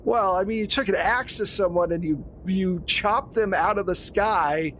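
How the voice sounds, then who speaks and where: A man with a fairly high voice acts as game master for a horror tabletop game. He narrates in a conversational, descriptive way. There is some noise and room ambience behind him. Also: normal speed, fairly bright tone, low-quality recording.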